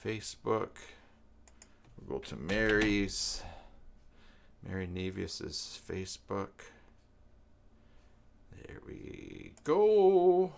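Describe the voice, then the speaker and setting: A man's voice: fairly low pitch, sing-song, drawn-out words, vocal fry, pauses often, a little hiss or hum. A middle-aged man hosting a casual live stream, rambling and thinking aloud, often repeating himself. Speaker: live stream host, web tutorial maker.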